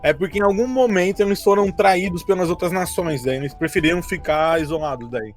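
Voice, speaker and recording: Casting: Brazilian man, slightly high voice, casual and jokey game-recap podcaster, light music bed underneath. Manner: joking, quick to laugh. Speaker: game master of a tabletop RPG campaign, podcast member.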